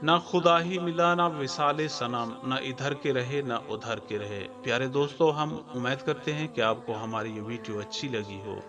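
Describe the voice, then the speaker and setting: A man hosting and narrating a scripted moral story in a formal, measured storytelling manner. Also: mid-range voice. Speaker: narrator and channel host. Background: background music.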